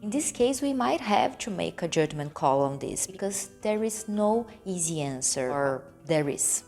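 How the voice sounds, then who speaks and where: A woman from Brazil, a non-native English speaker with a Brazilian Portuguese accent, hosting a relaxed English lesson and explaining words slowly and carefully. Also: fairly low pitch, soft background music. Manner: slow and careful, stresses and repeats key words. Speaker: English teacher and channel host.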